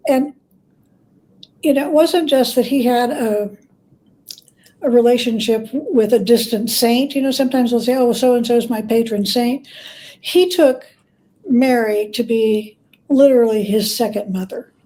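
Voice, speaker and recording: A woman speaking as an expert guest in an interview, telling stories in a plain-spoken, thoughtful, measured way. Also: a little high, mellow, low-quality recording. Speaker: guest, church historian and biographer. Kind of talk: conversational interview, storytelling.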